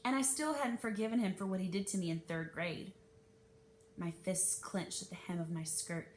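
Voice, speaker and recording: A woman reading a children's novel aloud as an expressive narrator. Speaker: read-aloud reader. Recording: noisy with low rumble.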